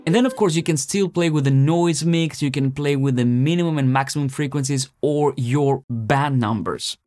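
A man's voice: mid-range voice, moderate pace, a little mellow. A man in his thirties explaining music production step by step in a conversational tutorial style. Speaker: tutorial presenter. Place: small home-style music studio, indoors.

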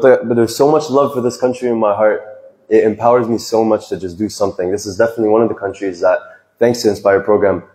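A man's voice: on the low side, darkish tone. A young man giving an earnest, heartfelt farewell speech from a stage, speaking into a handheld microphone. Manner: earnest, reflective, speaks from the heart with small restarts. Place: large decorated hall with stage. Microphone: handheld microphone, recorded from the audience. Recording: echoey hall.

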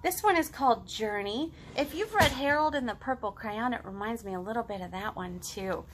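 A woman in her thirties with a high voice reads and chats to young children at storytime. She is expressive and encouraging, keeping her phrasing simple for little listeners. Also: normal speed, noisy background. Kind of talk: animated children's storytime, reading aloud and chatting to young children. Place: living room at home.

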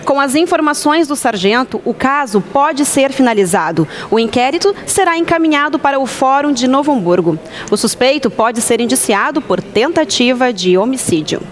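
Woman, 30s, news anchor, slightly high voice, steady scripted read, newsroom. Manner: steady scripted delivery, brisk questions. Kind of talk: live news presenting. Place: open-plan newsroom. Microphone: headset mic.

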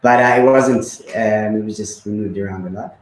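A man's voice: lowish voice, a little fast, echoey room, a little hiss or hum, poor audio. A man in his thirties from Zimbabwe, a guest chatting casually with many fillers and repeats. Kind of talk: casual conversation.

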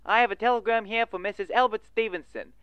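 An actor playing a businesslike telegram clerk on the telephone in a 1940s-style radio drama. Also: male voice, high-pitched, steady tone, quick pace, clear, resonant tone, dry recording, lo-fi sound, lightly acted.

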